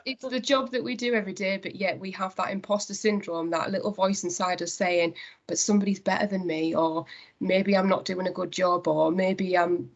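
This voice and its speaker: A woman's voice: medium pitch, steady tone, normal speed, highs cut off. A woman with a Northeast English accent speaking earnestly in a conversational interview as a company director. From Northeast England.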